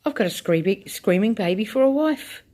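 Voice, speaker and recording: Old grandfather, loud and excitable, voiced by a woman reader. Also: mid-range voice, a little fast, voice pushed up, close to an everyday voice. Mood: excited. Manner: excited yelling.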